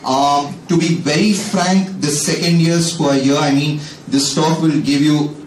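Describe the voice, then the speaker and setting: A man's voice: on the high side, fairly even intonation, measured pace, a little hiss or hum. A young man giving a chatty career talk to students, with joking asides. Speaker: alumni speaker. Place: packed lecture hall. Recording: echoey hall, distant mic.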